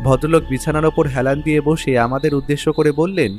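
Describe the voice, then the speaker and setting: An actor playing a detective's curious, watchful companion, narrating the case in the first person. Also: male voice, on the high side, steady tone, fast, music in the background, lightly acted. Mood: curious, reflective.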